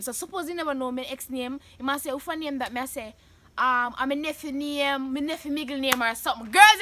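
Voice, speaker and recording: A young Jamaican woman hosting her vlog, chatting animatedly and breaking into loud laughs and shouts. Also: high voice, a little hiss or hum. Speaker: vlogger host. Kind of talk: casual chat answering questions.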